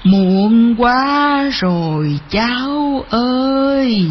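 A woman voicing a sorrowful old grandmother turned cuckoo bird in a storybook, with mournful, drawn-out calls. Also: a little high, animated, highs cut off, slight background noise. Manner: slow, drawn-out, mournful calling.

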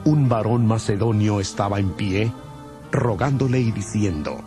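A man's voice: fairly low pitch, unhurried. A man narrating a dramatized Bible from a script. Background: music.

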